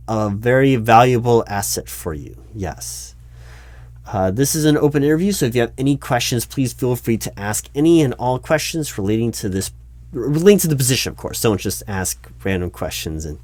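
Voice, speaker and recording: A man's voice: lowish voice, a little mellow, dry recording, lightly acted. A man playing a flustered, officious recruiting manager in a one-sided job interview roleplay, stammering and repeating himself. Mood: flustered, evasive. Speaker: roleplay performer.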